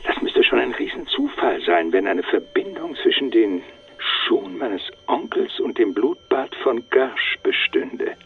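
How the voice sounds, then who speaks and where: An actor playing a precise, sceptical and defensive police deputy in a German radio crime drama, heard through a telephone filter. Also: male voice, mid-range voice, big pitch swings, measured pace, vocal fry, husky, heavily acted. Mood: sceptical, pedantic. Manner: precise, reluctant, pedantic. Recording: tinny phone line, distant, faint noise.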